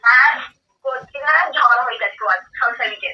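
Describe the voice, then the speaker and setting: A woman's voice: on the high side, brisk. A young woman chatting casually over the phone, questioning and insistent, often repeating herself. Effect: telephone. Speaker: prank call recipient. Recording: dull distant phone line.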